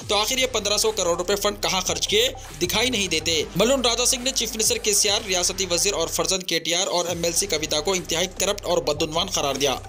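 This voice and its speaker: A man's voice: high voice, fast talker, hardly any pauses, sharp tone, faint music behind. A man reads a scripted news bulletin in a formal, declarative way. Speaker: news reader.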